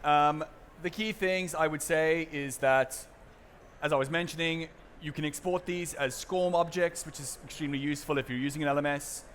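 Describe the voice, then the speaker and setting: A man's voice: fairly high pitch, fairly even intonation, moderate pace, a bit bright, slight background noise. A South African man giving a conference seminar talk on a main stage, casual and chatty, with fillers and restarts. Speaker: presenter.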